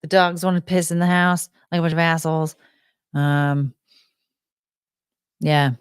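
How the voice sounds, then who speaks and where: A woman's voice: low-pitched, fairly even intonation, unhurried, clean tone, dry recording, studio quality. An American woman hosting a live stream, chatting in a rambling, unfiltered way with casual swearing. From United States.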